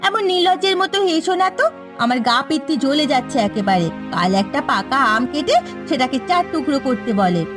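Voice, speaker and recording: A woman playing a put-upon, exasperated daughter-in-law and housewife in a Bengali cartoon, speaking in a complaining, animated manner. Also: sits high, a little fast. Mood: indignant complaining. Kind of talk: Bengali moral-story cartoon dubbing. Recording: faint music behind the voice.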